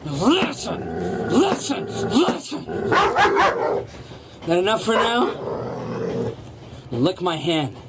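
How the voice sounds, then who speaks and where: An actor playing a sly gardener turned dog thief in a radio drama, coaxing and theatrical, repeating himself. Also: male voice, high voice, wildly swooping pitch, extremely slow, pauses often, very noisy, heavily acted. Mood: taunting, domineering.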